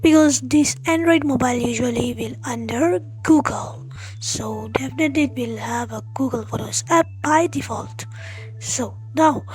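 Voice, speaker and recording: A woman hosting a tech tutorial, explaining step by step in a halting, friendly way and speaking straight to her viewers. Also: sits high, darkish tone, slightly rough, poor audio. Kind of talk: spoken tutorial walkthrough.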